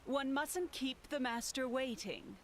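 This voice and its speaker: A fantasy game housekeeper, primly courteous and evasive. She takes her time over every formal phrase. Faint hiss sits under the voice. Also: sits high. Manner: formal, unhurried, primly polite. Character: courteous, evasive female housekeeper of a mysterious manor. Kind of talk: scripted fantasy game dialogue.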